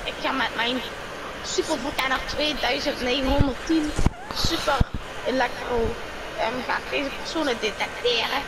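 Girl doing a high voiced clueless excitable alien, repeats stuff like a kid, loud game noise behind. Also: normal speed, lightly acted. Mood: curious, naive. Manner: childlike, repetitive, easily amazed. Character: naive excitable stranded banana-obsessed alien named Dimitri. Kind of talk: improvised video game roleplay.

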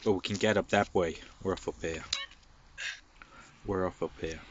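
A man's voice: low-pitched, fairly even intonation, normal speed, muffled recording, slight background noise. A man with a head cold, chatting casually as he plays through a game, making joking asides. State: stuffy with a cold. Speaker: gaming channel host. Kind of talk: casual let's-play commentary.

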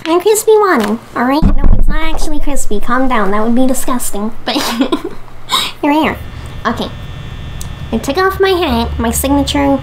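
A woman's voice: a little high, noisy background. A young woman with a headset, playfully commentating a horror game, chatting to her viewers and teasing the game characters. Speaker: gaming video host.

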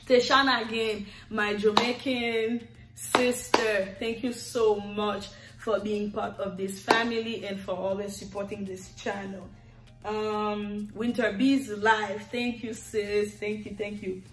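A woman's voice: medium pitch, level tone, slow, echoey room, over loud music. A middle-aged woman with a Haitian accent, her first language Creole, thanking her subscribers on camera in an affectionate, sing-song way, stretching out repeated words. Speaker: YouTuber. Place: living room with red leather sofa, indoors. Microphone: camera in front, seated.

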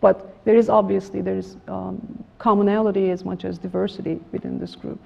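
Middle-aged woman academic lecturer, gravelly low-key tone, measured delivery, dull lo-fi room sound. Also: level tone. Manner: measured, formal, frequent restarts and fillers. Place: lecture room with projector screen. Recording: slightly dull lo-fi room recording.

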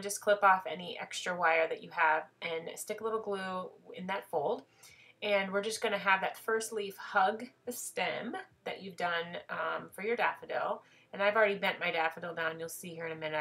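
A woman's voice: lowish voice, a bit bright. A woman with a head cold explaining a craft project step by step in a chatty, self-deprecating tutorial voice.

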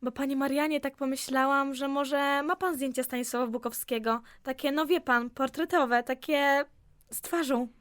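Woman acting a high-voiced, fretful office clerk. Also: fairly even intonation, moderate pace, a bit bright, dry recording. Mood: pleading. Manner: fretful, pleading, effusive. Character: anxious, pleading, grateful young female office clerk. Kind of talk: amateur audio drama acting.

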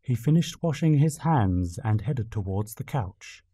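A male narrator reads a scripted story for a fan radio play. His voice is mellow and dark, with a husky grain. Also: mid-range voice, animated, unhurried.

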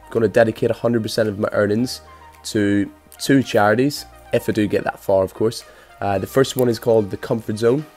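Brisk young Irish man. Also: on the low side, darkish tone. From Ireland. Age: 20s. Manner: brisk, straightforward. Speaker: contest entrant. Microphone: earphone mic, phone camera.

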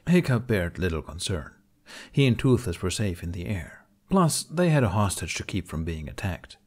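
A man reading fiction aloud as an audiobook narrator, with expressive scripted delivery. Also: low voice, normal speed.

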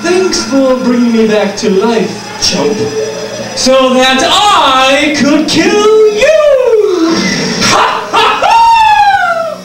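A man's voice: very high-pitched, very animated, drawn-out words, heavily acted. An actor playing a melodramatic man brought back from the dead in a horror comic dramatization. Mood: sneering triumph. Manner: melodramatic, exclaiming. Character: revived dead man, bewildered then sneering enemy. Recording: echoey, distant, music behind.

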